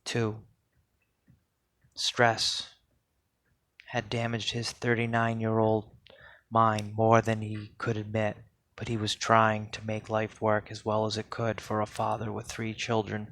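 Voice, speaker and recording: A man reads poetry aloud in a solemn spoken-word style, with deliberate, measured phrasing and pauses. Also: fairly low pitch, monotone, vocal fry, fairly bright tone. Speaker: poetry reader.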